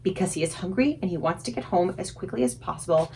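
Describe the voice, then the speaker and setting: A woman reading a children's story aloud expressively, with dramatic, suspenseful emphasis and deliberate pauses. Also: on the low side, lively intonation, a little mellow, slight background noise, poor audio. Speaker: librarian reading aloud for a book club.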